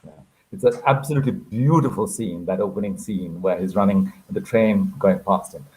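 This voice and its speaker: A man's voice: deep voice, moderate pace, darkish tone, low-quality recording. A man moderating a conversation, asking questions in a conversational interview style.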